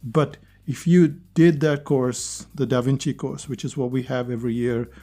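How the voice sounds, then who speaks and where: A man with a mellow, mid-pitched voice talks through his podcast on his own. He speaks in an earnest, conversational way and repeats phrases for emphasis. Faint music plays underneath. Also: fairly even intonation, normal speed. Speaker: podcast host. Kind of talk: solo podcast monologue.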